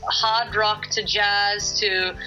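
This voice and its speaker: A woman's voice: mid-range voice, little pitch movement, very slow, bright, forceful tone, muffled recording, faint music behind. A middle-aged woman from Singapore, a singer-songwriter guest, answering interview questions in a thoughtful, hesitant way with many fillers and restarts.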